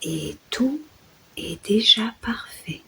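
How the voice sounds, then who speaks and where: A woman leading a guided sleep meditation in a soft, soothing, hushed voice that often drops toward a whisper. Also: mid-range voice, animated, slow, vocal fry, slightly rough. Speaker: guided meditation host and yoga nidra guide.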